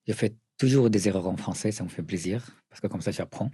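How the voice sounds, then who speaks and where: A middle-aged man from Syria with a Syrian accent, answering interview questions earnestly, often pausing and restarting. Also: fairly low pitch, dark tone, slightly rough, dry recording, clean studio sound. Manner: earnest, with frequent hesitations and restarts. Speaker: writer and journalist, interview guest.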